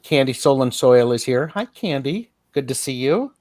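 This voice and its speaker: A middle-aged man in easy conversation, spinning anecdotes and slipping into quick acted voices. His voice sits at an ordinary pitch, and the sound is dry and close, with no room around it. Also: animated, darkish tone, no room sound. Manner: chatty, storytelling with acted asides. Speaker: co-host, tarot reader. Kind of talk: casual chat.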